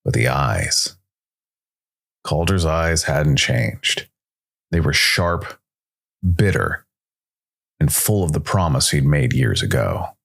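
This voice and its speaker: A man's voice: a bass voice, expressive, slow, vocal fry, pauses often, a bit bright. A man reading a dramatic science fiction story as a scripted narration.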